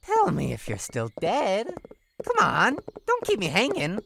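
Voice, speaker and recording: A woman's voice: mid-range voice, huge pitch swings, unhurried, fairly bright tone, a little hiss or hum, heavily acted. A woman voicing a playful, teasing and sinister clown-like jester demon in a horror visual novel game, with theatrical sing-song delivery. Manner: theatrical sing-song teasing, sudden swoops into breathy menace.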